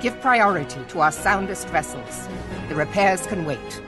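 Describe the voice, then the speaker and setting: An actress playing a stern, decisive admiral in a fantasy game, authoritative and formal with archaic phrasing. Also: female voice, fairly low pitch, animated, moderate pace, natural voice. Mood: commanding. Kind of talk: scripted fantasy game dialogue. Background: music.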